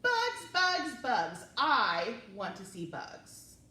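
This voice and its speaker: A woman reads and chats to small children as a library story time presenter. Her delivery is very lively and singsong, with an encouraging way of addressing young listeners. The recording is roomy and somewhat distant, with faint noise behind her. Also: on the high side, extremely slow. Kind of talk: children's read-aloud and chat to young viewers.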